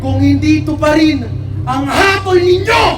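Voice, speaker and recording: Stern accusing orator played by a stage actor, high voice, theatrical declamation, echoey outdoor stage. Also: unhurried. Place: outdoor stage in a park, far from mic. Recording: distant echoey outdoor stage, music, vehicle rumble.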